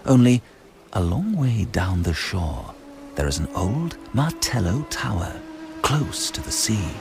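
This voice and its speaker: Deep, creaky ghost story narrator. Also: male voice, big pitch swings, a little slow, over music, full character voice. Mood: brooding, atmospheric. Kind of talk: dramatic ghost story reading.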